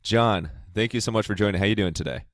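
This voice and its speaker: A man's voice: lowish voice, fast, dry recording, studio quality. An American man hosting a podcast, chatting casually and effusively with upbeat, wordy interview questions. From Ohio, United States. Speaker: podcast host.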